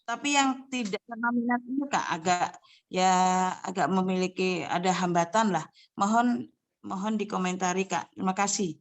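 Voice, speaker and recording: A woman scout leader asking a question over a video call, slow and searching for words. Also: medium pitch. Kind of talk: question from the audience.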